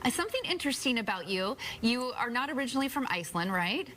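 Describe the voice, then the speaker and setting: A woman's voice: a little high, sing-song, pushed and bright, a little hiss or hum. A woman co-anchoring a morning news show, playful and chatty. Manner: playful, quick. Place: TV studio.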